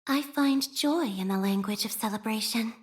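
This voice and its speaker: An actress voicing a soft-spoken, devout young woman scholar of a religious order in a video game, speaking formally and solemnly. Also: fairly high pitch, lightly acted. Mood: gentle, devout. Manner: formal, solemn, measured, reverent. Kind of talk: scripted video game character voice lines.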